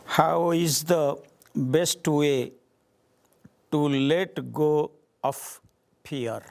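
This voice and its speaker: A man with an Indian accent, a spiritual teacher answering questions at a gathering in slow, deliberate phrases with long pauses. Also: on the high side, gravelly. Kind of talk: satsang talk answering questions.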